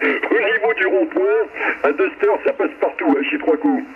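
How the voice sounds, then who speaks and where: A man chatting casually in a halting way, heard through a radio transmission. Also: sits high, huge pitch swings. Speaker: mobile CB radio operator. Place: transmitting from a car. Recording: tinny, noisy CB radio reception.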